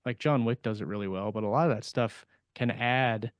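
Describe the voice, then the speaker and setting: A man with a mid-pitched voice in a casual podcast discussion. He explains in a halting way, working out his thoughts as he speaks. The sound is close and dry, with very little room. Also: clean tone, no echo. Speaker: podcast co-host and fiction writer.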